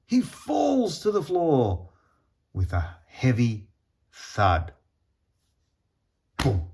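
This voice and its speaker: A man reading a children's storybook aloud in an expressive, deliberate storytelling manner with pauses. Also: mid-range voice. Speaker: storybook reader.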